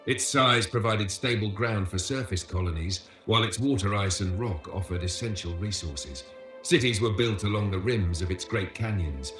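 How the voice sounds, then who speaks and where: A man giving scripted educational documentary narration as a tour guide, in a measured, solemn manner. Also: on the low side, a bit bright, roomy sound. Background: music.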